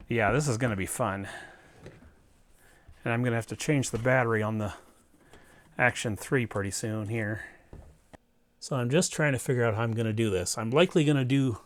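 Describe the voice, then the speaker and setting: Man casually narrating a repair, thinking aloud. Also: medium pitch. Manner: thinking aloud, talking to the viewer. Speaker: host of an electronics repair video.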